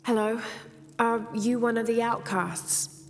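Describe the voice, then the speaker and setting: A woman playing an earnest young adventurer in a video game, speaking gently and politely as she questions and listens. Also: on the high side, steady tone, takes it slowly. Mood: curious, polite. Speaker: game protagonist. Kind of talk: video game cutscene dialogue. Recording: long reverb, music behind.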